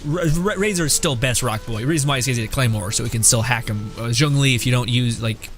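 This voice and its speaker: A man's voice: mid-range voice, fast, fairly bright tone. A young man doing casual, joking gameplay commentary, rambling and sarcastic with some swearing. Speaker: game streamer. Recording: game music behind voice.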